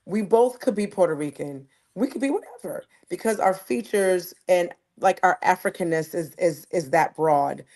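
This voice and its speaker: A woman from the United States hosts a relaxed conversation with a slightly low voice. She tells stories with animation, slowing and stretching her phrasing as she works out her thoughts. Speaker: host. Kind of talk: casual discussion.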